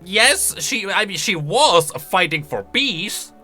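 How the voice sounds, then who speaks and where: A man's voice: high-pitched, sing-song, takes it slowly, pushed and bright, soft background music. A man giving casual, chatty commentary on video game lore, thinking aloud with emphatic delivery.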